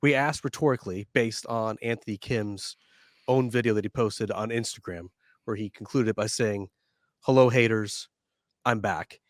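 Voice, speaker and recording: A man co-hosting a golf podcast, sounding a little nasal from a head cold and running low on energy. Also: no room sound, clean studio sound. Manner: measured, slightly tired delivery. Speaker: podcast co-host. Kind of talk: casual sports podcast chat. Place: studio.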